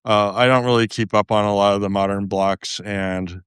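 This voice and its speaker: A man's voice: fairly low pitch, steady tone, moderate pace, a bit bright, clean tone, dry recording, studio quality. A man guesting on a podcast, chatting casually in a self-deprecating way and laughing often at himself. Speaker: podcast guest, game designer. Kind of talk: casual interview chat.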